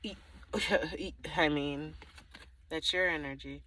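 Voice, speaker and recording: A woman's voice: low-pitched, slow pace, sharp tone, no echo, poor audio. A woman giving a casual, improvised tarot reading to the viewer, thinking aloud in a rambling way, often trailing off and circling back. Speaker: tarot reader.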